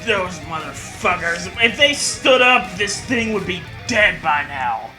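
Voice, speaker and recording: Male comedy commentator, high-pitched and swoopy, sarcastic and profane, over audible background music. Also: sing-song, slow. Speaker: co-host commentator. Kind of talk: casual comedic riffing. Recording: music behind the voice.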